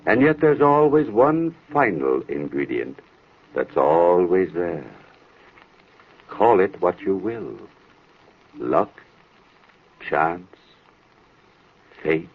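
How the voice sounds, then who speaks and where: A man narrating a scripted drama as host, with grave, deliberate phrasing. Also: low-pitched, breathy edge. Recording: thin, phone-like old radio recording.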